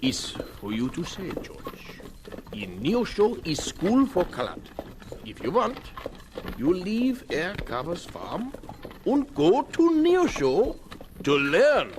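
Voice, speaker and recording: An actor playing a stern but caring old German farmer with a German accent, speaking earnest, halting, broken English in a 1950s radio drama. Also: male voice, high voice, huge pitch swings, heavily acted. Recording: hissy old radio recording.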